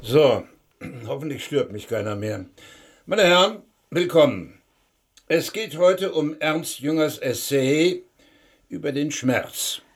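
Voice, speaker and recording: Brief: a courteous male host, gravelly voice, formal welcome. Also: fairly high pitch, lively intonation, takes it slowly, long pauses. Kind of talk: radio play acting.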